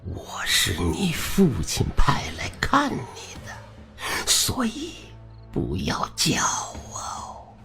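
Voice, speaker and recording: An actor playing a cold, sinister middle-aged intruder in an audio drama, speaking in a slow, detached, menacing murmur. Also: male voice, high voice, huge pitch swings, gravelly, pushed and bright, exaggerated voice. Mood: hushed. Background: faint music.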